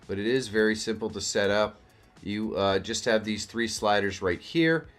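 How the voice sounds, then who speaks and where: A man hosting a casual software walkthrough as a video tutorial. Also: on the low side, moderate pace. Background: background music.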